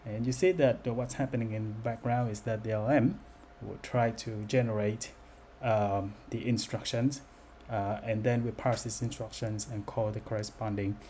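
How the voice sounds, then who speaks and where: A man giving an unscripted software demo as its developer, speaking in a halting, hesitant way. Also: on the low side, fairly even intonation. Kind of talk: unscripted screen-recorded demo narration. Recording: noticeable background noise.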